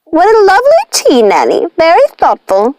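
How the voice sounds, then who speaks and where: A woman voicing an excitable witch sister from a storybook, shrill, squealing and melodramatic. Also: moderate pace, noisy background, heavily acted. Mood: fawning. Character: excitable, hysterical witch sisters, fawning or outraged.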